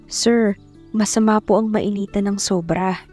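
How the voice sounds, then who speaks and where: A woman's voice: medium pitch, fairly even intonation, measured pace, mellow, faint music behind, close to an everyday voice. Actress as earnest, shy young farm woman. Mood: shy, apologetic. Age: young adult. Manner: polite, hesitant when addressing others. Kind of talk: first-person radio drama narration and dialogue.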